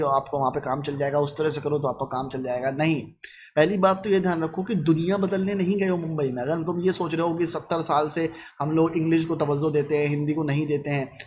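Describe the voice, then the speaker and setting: Blunt high-voiced man. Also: a little hiss or hum. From Lucknow, India. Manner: blunt, lecturing, mixes English phrases into Hindi. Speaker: vlogger. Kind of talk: casual advice monologue.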